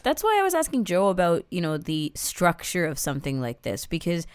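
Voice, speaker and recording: Female podcast co-host, very low and clear register, dry studio-like sound, measured and analytical. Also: wildly swooping pitch, dry recording. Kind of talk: casual analytical discussion.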